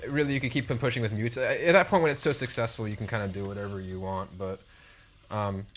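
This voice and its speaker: A man's voice: fairly low pitch, steady tone, fast. A man casually commenting on a strategy game he is playing, rambling and self-deprecating. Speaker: co-host playing the match.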